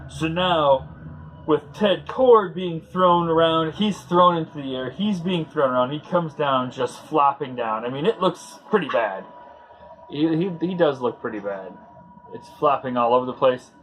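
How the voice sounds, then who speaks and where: Man chatting casually over clear background noise. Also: on the high side, a little slow, a bit bright, low-quality recording. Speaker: host of a comic book read-along. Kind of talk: casual commentary and review.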